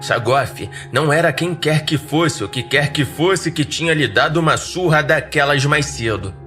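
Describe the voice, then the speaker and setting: A man with a Brazilian accent narrating a first-person horror story in a dramatic, wry and expressive way. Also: fairly high pitch, normal speed, soft background music.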